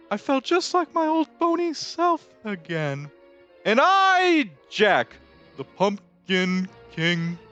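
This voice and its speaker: A performer voicing a lanky skeleton Pumpkin King from an animated musical, grandiose, excitable and melodramatic, with sweeping theatrical delivery. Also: high-pitched, lo-fi sound, full character voice. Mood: triumphant. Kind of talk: fan re-recording of animated musical film lines.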